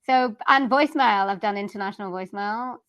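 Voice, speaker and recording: A woman's voice: medium pitch, a little fast, a bit bright, no echo. A woman with a Welsh accent, a voiceover artist guest on a podcast, explaining chattily with many "um"s and "like"s. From South Wales, UK. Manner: chatty, filler-laden explaining. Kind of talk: casual interview chat.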